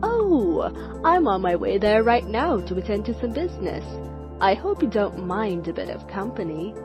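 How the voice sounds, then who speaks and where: A woman playing a gentle, courteous middle-aged mother in a cartoon comic dub. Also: medium pitch, big pitch swings, normal speed. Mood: polite. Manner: courteous, hesitant. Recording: music behind.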